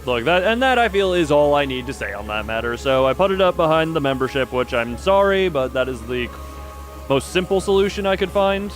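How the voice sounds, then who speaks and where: A man's voice: on the high side, expressive, a little fast, soft background music. A man commentating live on a game stream, chatting with his viewers as he plays.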